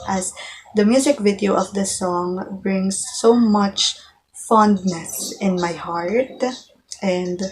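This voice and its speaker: A woman's voice: medium pitch, steady tone, slow pace, a little hiss or hum, rough recording. A woman, giving careful, articulate interview answers as a college student. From Philippines. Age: 20s.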